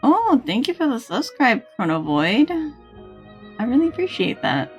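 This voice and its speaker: A woman's voice: a little high, sing-song, normal speed. A woman, chatting casually and in a friendly way as she streams a game. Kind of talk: casual livestream chat and game commentary. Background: faint game music.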